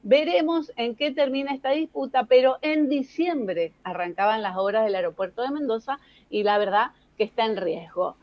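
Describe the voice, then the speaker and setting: High-voiced Argentine woman columnist, dull sound. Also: moderate pace. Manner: explanatory, addresses listeners directly. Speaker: radio or TV news columnist. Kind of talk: conversational news commentary.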